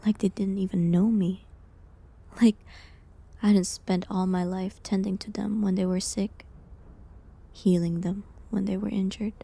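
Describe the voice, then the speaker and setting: A woman playing a falsely accused village healer in a medieval audio drama, sad and resigned, speaking softly and hesitantly with pauses. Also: medium pitch. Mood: hurt, bitter. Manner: soft, hesitant, breathy delivery with pauses. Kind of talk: dramatic audio roleplay monologue, medieval setting.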